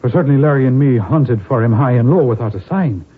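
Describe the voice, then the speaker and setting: A man's voice: mid-range voice, lively intonation, quick pace, breathy edge, narrow, tinny audio. A haunted father, the last of his line. His delivery is grave, fatalistic and stagey, in a mid-range voice. It sounds like a phone-thin vintage radio play. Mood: grave, resigned. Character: doomed, fearful Irish gentleman father, last of his line. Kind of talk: 1970s radio drama acting. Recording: band-limited old radio recording.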